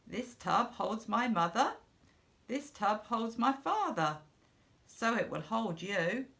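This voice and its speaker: Woman reader as earnest storybook child, heartfelt pet owner, unhurried delivery, slightly creaky voice. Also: medium pitch, very animated, a bit bright, exaggerated voice. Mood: reassuring. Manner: earnest, pleading, simple short sentences.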